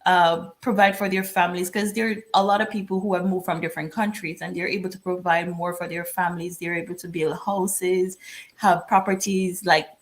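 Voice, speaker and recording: A woman's voice: on the low side, little pitch movement, fairly quick. Woman from Jamaica, chatty, laughs often, video call, interview guest.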